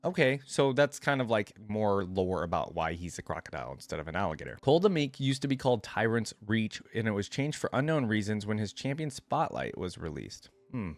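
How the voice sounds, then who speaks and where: A man's voice: on the low side, no room sound. A man giving casual reaction commentary in a conversational way, thinking aloud and sharing his opinions. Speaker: reaction video creator.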